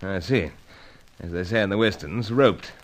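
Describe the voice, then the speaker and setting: Suave, low-voiced British secret agent played by an actor. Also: male voice, moderate pace, natural voice. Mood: groggy, wry. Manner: urbane, wry, old-fashioned gallant phrasing. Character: suave, wry male British secret agent and pipe smoker. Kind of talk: radio serial acting with first-person narration.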